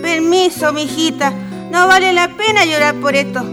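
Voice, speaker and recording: Woman as high-voiced fussing motherly aunt, reverberant. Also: normal speed. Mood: soothing. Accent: Chilean. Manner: folksy, soothing, fussing. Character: fussing, motherly aunt, folksy and world-weary about men. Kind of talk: radio drama acting. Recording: long reverb, music behind.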